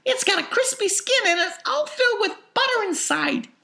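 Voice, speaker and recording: A man's voice: very high voice, expressive, moderate pace, gravelly, pushed and bright, echoey room, voice pushed up, exaggerated voice. A man voicing a brash, greedy, stubborn young girl in a storybook, boastful and gushing. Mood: gloating delight.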